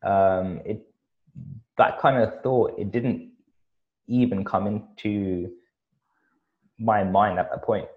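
A man in casual podcast conversation who speaks haltingly, with many fillers and repeated words. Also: fairly low pitch, steady tone, phone-quality sound. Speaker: podcast guest.

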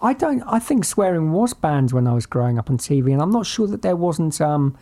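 A middle-aged British man with a fairly high, creaky voice co-hosts a casual sports discussion. He speaks thoughtfully and a little hesitantly, often doubling back on words as he works out a point. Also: very animated, fairly quick, dark tone. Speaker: podcast co-host.

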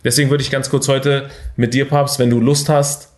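A young man hosting a motivational podcast, energetic and full of fillers and English buzzwords. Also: mid-range voice, normal speed. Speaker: podcast host. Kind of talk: casual motivational talk.

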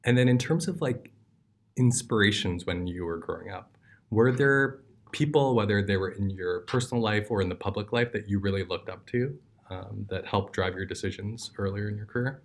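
A man's voice: on the low side, normal speed. A man hosting an interview, asking long framed questions and giving brief affirmations. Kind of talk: casual interview.

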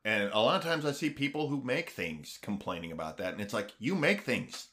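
A man co-hosting a podcast in casual chat, halting with fillers and restarts, then growing eager. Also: lowish voice, very animated, bright, forceful tone. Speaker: podcast co-host.

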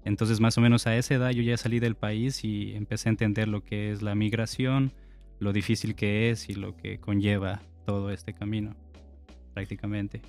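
A man in his twenties from Ecuador, a podcast guest calmly telling his story in casual chat. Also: on the low side, normal speed, faint music behind. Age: 20s. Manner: calm, reflective storytelling.

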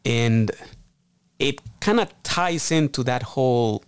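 Soft-spoken male radio host. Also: mid-range voice, animated, takes it slowly, clean tone, dry recording. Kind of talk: casual radio talk.

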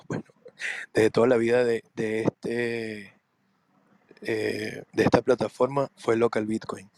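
A man with a voice hoarse from laryngitis, explaining things in a measured, hesitant way on a podcast. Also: medium pitch, a little mellow, no echo. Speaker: podcast guest.

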